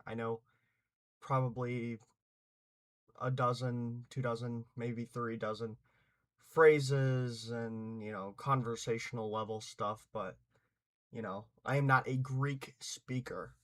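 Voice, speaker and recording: A young man thinks out loud in a loose, unscripted commentary, stumbling over restarts and trailing fillers. His voice sits at an ordinary middle pitch, and he drops in a curse when he wants to press a point. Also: fairly even intonation, takes it slowly. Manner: hesitant, many restarts and fillers, swears for emphasis. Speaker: channel host giving opinions.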